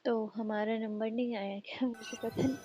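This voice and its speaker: A woman's voice: a little high, fairly even intonation, very fast. A woman giving casual reactions while live-streaming a game. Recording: loud game music over voice. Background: loud game music.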